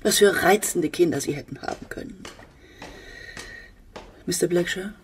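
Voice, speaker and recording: An actress playing a genteel, brittle older widowed mother in a German radio play, with a husky voice. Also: lowish voice, normal speed, distant mic, slight background noise, close to an everyday voice. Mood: brittle, wistful.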